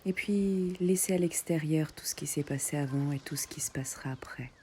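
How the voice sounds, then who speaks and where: A woman guiding a meditation in soft, breathy short phrases with long pauses, often close to a whisper. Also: deep voice, slight background noise. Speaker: yoga nidra meditation guide. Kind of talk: guided meditation.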